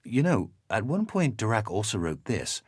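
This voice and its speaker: A low-voiced, slightly husky researcher in a game audio log. He is measured and reflective, with lively intonation, recorded very dry and close. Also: no echo. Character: thoughtful male researcher reading quotations aloud and discussing them. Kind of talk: audio drama reading and conversation.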